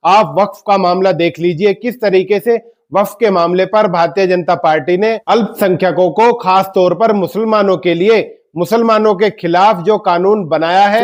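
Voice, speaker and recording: A man hosting a news commentary, delivering scripted political remarks in an emphatic way and putting rhetorical questions to his viewers. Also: sits high. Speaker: news commentator and channel host.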